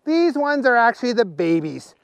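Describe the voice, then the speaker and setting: Male performer as storybook creature villager, high swooping voice, gleeful, enthusiastic, coaxing. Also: big pitch swings, moderate pace, clear, resonant tone, no room sound, heavily acted. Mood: eager, proud. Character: cheerful non-human villager who relishes eating worms. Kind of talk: children's story character voice.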